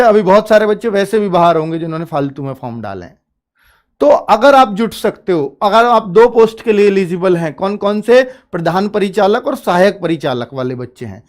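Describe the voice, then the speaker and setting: A man, speaking as a coaching teacher who explains things informally to students, posing questions, answering them himself and repeating points for emphasis. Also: sits high.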